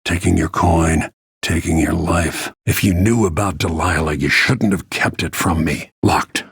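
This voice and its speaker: A man voicing a grim, middle-aged assassin and protective father in a video game, gravelly and husky, with terse, brooding, controlled delivery. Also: deep voice, measured pace, a bit bright, dry recording, studio quality, heavily acted.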